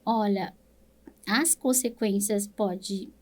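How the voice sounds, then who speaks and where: A woman's voice: medium pitch, takes it slowly. A woman with a Brazilian Portuguese accent, a speech therapist explaining things patiently in an interview, often checking in with a tag question. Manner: explains patiently, ends phrases with "né?".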